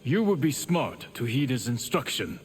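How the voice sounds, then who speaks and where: An actor playing a wise, stern male master warrior in a video game, calm and lecturing. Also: mid-range voice, moderate pace, big-room sound, slight background noise, close to an everyday voice. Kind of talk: video game character voice acting.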